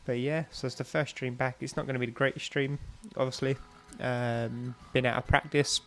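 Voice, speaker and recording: A man's voice: medium pitch, little pitch movement, normal speed, faint music behind. A young man with a stuffy, bunged-up nose, chatting casually and rambling with lots of ums while he streams a game. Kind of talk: casual live-stream chat while playing.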